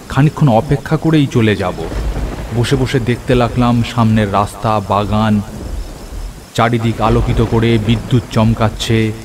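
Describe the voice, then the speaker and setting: A man playing an earnest, excitable friend who tells a cartoon horror story in the first person, in an expressive, theatrical way. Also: fairly low pitch, a little hiss or hum, close to an everyday voice. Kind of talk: dramatic scripted first-person horror narration. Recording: clear rain and thunder over voice. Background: rain and thunder effects.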